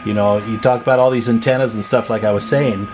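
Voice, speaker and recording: A man's voice: lowish voice, fairly even intonation, fast, music in the background. A man giving a casual, hands-on demonstration as a repair technician, informal and folksy, thinking aloud as he works indoors at a test bench. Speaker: radio repair technician and video host.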